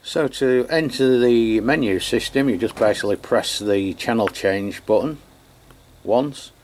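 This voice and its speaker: An Englishman giving an unscripted product review and demonstration, chatty and matter-of-fact, with a halting delivery. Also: medium pitch, darkish tone. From England. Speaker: radio reviewer.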